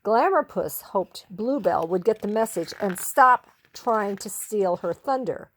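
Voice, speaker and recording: A woman reading a children's story expressively, with theatrical, drawn-out emphasis on key words. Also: mid-range voice, expressive.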